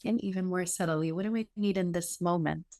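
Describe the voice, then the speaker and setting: Low-voiced woman guiding meditation, slightly creaky. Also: a little mellow. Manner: unhurried, reassuring, with pauses. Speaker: yoga meditation teacher. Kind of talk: guided meditation, gently instructive.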